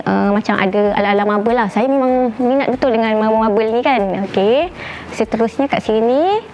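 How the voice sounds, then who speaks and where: A Malaysian-accented woman with a fairly high voice walks through her home as its owner. She chats casually and hesitates often as she looks for words. The indoor recording is slightly dull, with faint noise behind her. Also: a little mellow. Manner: chatty and hesitant, pausing often with "ah" and searching for words. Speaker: homeowner giving a house tour. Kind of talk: casual guided home tour to camera. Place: indoors, rooms of a furnished house.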